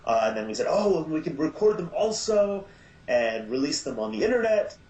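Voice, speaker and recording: A man being interviewed as producer and director of an audio drama, talking in a halting way with many fillers and restarts. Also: fairly high pitch, big pitch swings, a little mellow. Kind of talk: casual podcast interview. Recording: echoey, dull lo-fi sound.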